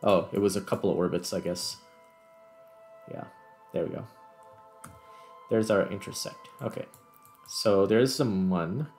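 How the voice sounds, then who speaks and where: Low-voiced man commentating on gameplay. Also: measured pace, long pauses, darkish tone, soft background music. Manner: thinks aloud while explaining. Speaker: gaming video host.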